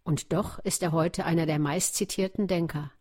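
A woman's voice: lowish voice, steady tone, moderate pace, a little mellow. A woman reading scripted narration for a radio documentary.

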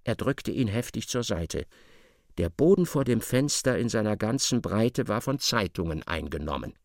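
A man's voice: fairly low pitch, animated, moderate pace, no echo, clean studio sound. A man narrating a literary radio drama in a scripted, storytelling style. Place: dry studio.

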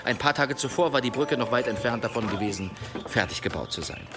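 A man in a radio play acting a courteous traveller who tells a sly tale in a polite, unhurried way. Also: mid-range voice, animated, lightly acted. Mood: sly storytelling. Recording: music under the voice.

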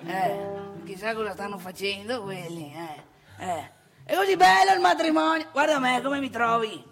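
Una donna che interpreta in un monologo teatrale comico un vecchio marito chiacchierone, vanitoso e brontolone, con del Nordest italiano e modi enfatici. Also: female voice, a little high, normal speed, music in the background, lightly acted. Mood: boastful, grumbling. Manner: chatty, emphatic, repetitive, tag questions and exclamations. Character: garrulous old married man, nagging, boastful, grumbling father. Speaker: stage monologue performer. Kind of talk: comic theatre monologue addressed to the audience.

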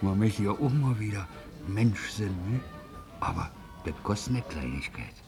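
An actor playing a weary, hesitant older ex-convict cobbler with a Berlin accent in a 1950s German radio drama. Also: male voice, fairly low pitch, sing-song, normal speed, gravelly. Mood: reluctant. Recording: vintage 1950s radio recording, music behind.

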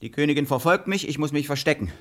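An actor playing a cheeky, naive, boastful clown in a fairy-tale radio play, exclamatory and clownish. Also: male voice, on the high side, lively intonation, fast talker, a bit bright. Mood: lost, grumbling. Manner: exclamatory, clownish, nonsense catchphrases.

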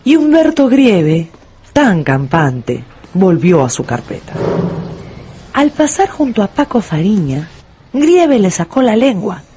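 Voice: mid-range voice, big pitch swings, takes it slowly, mellow, a little hiss or hum. A narrator reading a scripted story for a radio drama. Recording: music under the narration.

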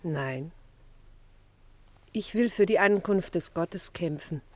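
An actress playing a dignified, steadfast woman of firm faith in a radio play, calm and deliberate. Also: fairly low pitch, no echo. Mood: calm resolve.

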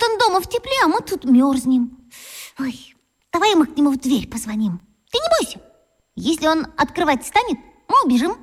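Актриса детского радиоспектакля играет болтливую, восторженную и добрую школьницу, говорит по-детски искренне и выразительно. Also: female voice, sits high. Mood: whining, impatient. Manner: expressive, childlike, earnest. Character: chatty, eager, warm-hearted young schoolgirl. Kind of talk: children's radio play acting.

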